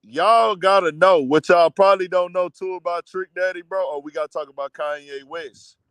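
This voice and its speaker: A man's voice: high-pitched, normal speed, fairly bright tone, clear, resonant tone, dry recording. Profane, heated man ranting on a stream. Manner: blunt, profane, heated rant. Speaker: commentary show host. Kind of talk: casual livestream commentary.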